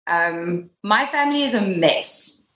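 A woman's voice: medium pitch, lively intonation, a little slow, fairly bright tone. South African female podcast host, giggly and gushing, echoey room sound. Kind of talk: casual interview chat. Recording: roomy echo.

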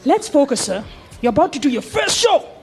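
Woman acting a high-voiced, bossy radio producer. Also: sing-song, a little fast, a little mellow, soft background music. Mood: brisk, encouraging. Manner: bossy, no-nonsense. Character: brisk, firm female radio show producer. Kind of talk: live radio drama acting.

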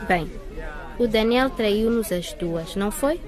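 Radio soap teenager: mid-range, apologetic, betrayed, clear crowd backdrop. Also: female voice, medium pitch, animated, takes it slowly, music in the background. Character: Anitta, apologetic, betrayed teenage girl, new student. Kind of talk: radio soap opera acting.

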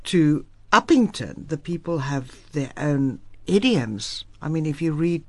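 Emphatic South African woman, lively. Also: low voice, a little slow, no echo. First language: Afrikaans. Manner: emphatic, lively, firm opinions. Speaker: interview guest, Afrikaans writer. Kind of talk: radio interview.

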